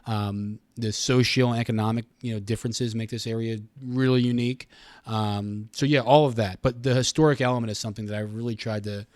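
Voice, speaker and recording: A middle-aged American man speaks as a guest in a relaxed interview. His pitch is average for a man, and he explains earnestly, often restarting phrases. The sound is close and dry. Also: brisk, no room sound. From Pennsylvania, United States. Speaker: radio station communications director, podcast guest. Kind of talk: casual interview conversation.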